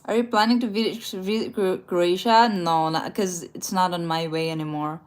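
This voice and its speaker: A woman talks casually and laughs often, stumbling and restarting her sentences as she goes. Her voice sits a bit on the low side. She sounds like she is chatting live from a rented room at night. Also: fairly even intonation, moderate pace. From Philippines. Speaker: travel livestream host. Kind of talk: casual live chat, reading viewer comments aloud.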